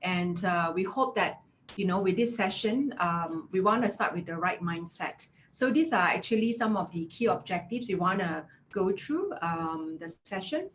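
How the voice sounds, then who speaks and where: A woman's voice: fairly low pitch, animated, normal speed, slight background noise. A woman in her thirties from Malaysia with a Malaysian English accent, presenting a career webinar as an HR consultant, chatty and full of "yeah" and "right?". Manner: chatty, filler-heavy, affirming with "yeah" and "right?".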